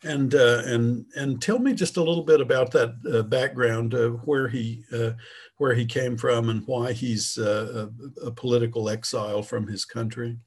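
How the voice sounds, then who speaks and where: A man with a husky, slightly rough voice hosts an interview. He asks polite, rambling questions and fills the pauses with "uh" and "um.". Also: mid-range voice, fairly even intonation. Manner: halting, polite questions with many "uh"s. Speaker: interview host. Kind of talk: interview hosting.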